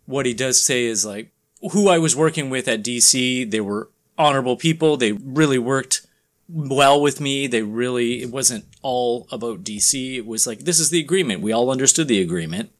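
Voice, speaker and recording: A man's voice: mid-range voice, normal speed. A man co-hosting a casual news podcast, explaining things conversationally and paraphrasing what people said as he goes.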